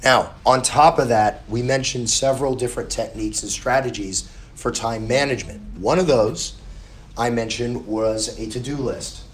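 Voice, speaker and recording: Hoarse guy teaching class, chill and reassuring, roomy echo. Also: mid-range voice, moderate pace. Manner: casual, reassuring, conversational. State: hoarse, recovering lost voice. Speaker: college instructor. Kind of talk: classroom lecture.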